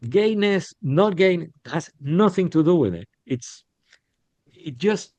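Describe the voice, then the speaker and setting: A middle-aged man from Puerto Rico, a podcast guest telling stories in a candid, animated way with frequent restarts. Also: on the high side, slow pace, no echo. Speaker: podcast guest, business leader. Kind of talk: casual interview storytelling. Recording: dull, lo-fi sound.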